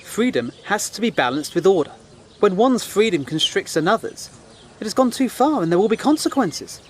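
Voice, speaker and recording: An actor playing a principled, sceptical British war correspondent in a First World War audio drama, earnest and articulate with a dryly challenging manner. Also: male voice, high-pitched, very animated, normal speed, slight background noise. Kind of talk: period audio drama acting.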